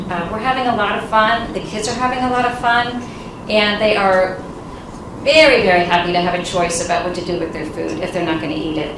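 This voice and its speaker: A woman, a nonprofit founder, giving an earnest, informal talk on a conference panel. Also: on the low side. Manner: earnest, informal, with fillers and light laughs. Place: conference room. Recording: echoey room, distant, noisy.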